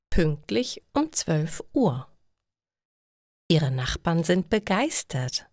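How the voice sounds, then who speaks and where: A woman reading scripted stories for language learners in short, deliberately separated sentences. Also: on the low side, no echo, studio quality. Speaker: narrator of German learning stories. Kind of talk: slow scripted story narration for language learners.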